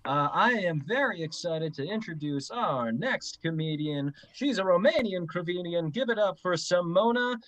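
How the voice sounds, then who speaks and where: A woman's voice: on the low side, muffled recording. A woman hosting an online open mic, introducing the next comedian and laughing along with the acts. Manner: slow, lively intros and loud laughter.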